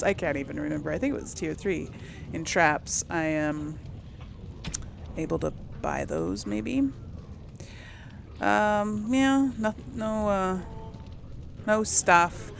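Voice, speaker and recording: A woman's voice: lowish voice, gravelly, a little hiss or hum. A woman giving casual let's play commentary, thinking aloud and musing to herself as she plays. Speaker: gaming YouTuber.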